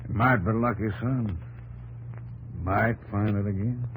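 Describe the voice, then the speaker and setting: A man portrays a seasoned, fatherly desert rancher. The voice sits low and the manner is folksy and sure of itself. It comes from an old radio broadcast with faint noise. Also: steady tone, measured pace, natural voice, low-quality recording. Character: seasoned older male rancher, fatherly, self-assured desert settler. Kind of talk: 1950s radio acting.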